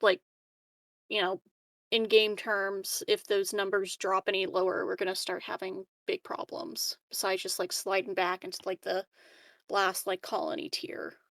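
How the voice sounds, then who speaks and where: A woman of average pitch gives her view during a roleplaying game. Her talk is informal and loosely phrased, with plenty of casual filler. The recording is close and free of room sound. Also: little pitch movement, normal speed, vocal fry, a bit bright, no echo. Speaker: tabletop roleplaying player.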